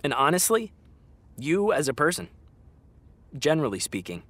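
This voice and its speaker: A man's voice: a little high, sing-song, moderate pace, a bit bright, slightly rough, full character voice. An actor playing a frank, exasperated young man talking to his best friend in a naturalistic, conversational audio drama style. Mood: blunt, exasperated.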